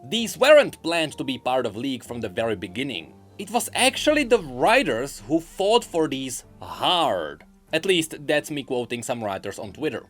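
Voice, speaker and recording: A man's voice: mid-range voice, big pitch swings, normal speed, few pauses, a bit bright, soft background music. A man giving scripted commentary on video game lore and voice lines in an emphatic, explanatory way.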